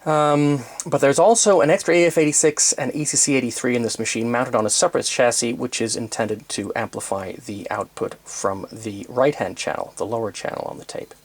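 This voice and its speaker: A man presenting an unscripted technical demonstration in a methodical, hesitant way, often pausing and correcting himself as he explains. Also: medium pitch, steady tone. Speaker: presenter demonstrating vintage tape recorders.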